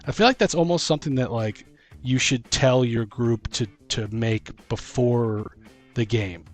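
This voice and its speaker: A man hosts a relaxed chat about tabletop gaming over faint background music. He talks at a middling pitch and rambles as he thinks his ideas through out loud. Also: a little slow. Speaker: podcast host. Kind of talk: casual discussion of tabletop role-playing games.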